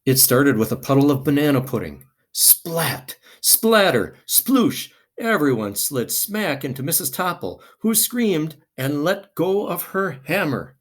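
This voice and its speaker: A man's voice: on the high side, sing-song, measured pace, hardly any pauses. A man reading a children's picture book aloud expressively, with dramatic emphasis.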